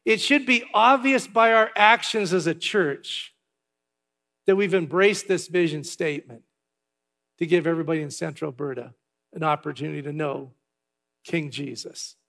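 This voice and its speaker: A pastor from Canada giving church announcements and a sermon in a chatty way, with asides and filler words. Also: male voice, on the high side, moderate pace, vocal fry, a bit bright. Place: large church hall. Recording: long hall reverb.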